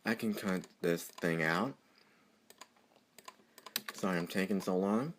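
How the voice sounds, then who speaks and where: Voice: deep voice, slow pace, a little hiss or hum. A tutorial presenter talking off the cuff over a screen recording, rambling and easily amused. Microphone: computer microphone during screen recording.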